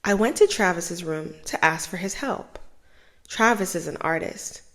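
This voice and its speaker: A young woman host reading a children's book aloud with expressive, animated storytelling. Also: fairly low pitch, measured pace.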